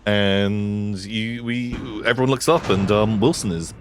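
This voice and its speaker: A man running a tabletop game, relaxed and halting as he describes scenes. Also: lowish voice, slight background noise. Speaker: game master.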